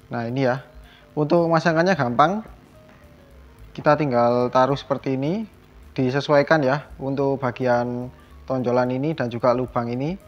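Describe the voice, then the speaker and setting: A man talks through a hands-on demonstration in a casual, explanatory way, at an average pitch. He goes step by step like a hobby vlogger. The recording is a little muffled and lo-fi, with music in the background. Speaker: vlogger demonstrating a product installation. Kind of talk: casual hands-on tutorial narration. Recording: dull lo-fi sound, music behind.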